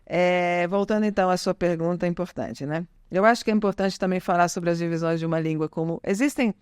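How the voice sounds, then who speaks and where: A woman's voice: on the low side, fairly even intonation, a little fast, darkish tone, clear, resonant tone, dry recording, clean studio sound. An Italian-born middle-aged woman whose first language is Italian, chatting in a casual podcast interview, rambling and correcting herself often. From Italy. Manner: rambling, self-correcting, frequent "né?". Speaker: podcast guest.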